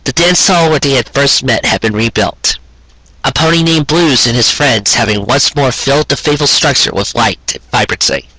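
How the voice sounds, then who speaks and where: A man narrates a story in a bright, slightly rough voice. His delivery is measured and sentimental, clearly read from a script. The sound is very dry, with hardly any room around him. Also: medium pitch, no room sound, rough recording. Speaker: audiobook reader of fan fiction.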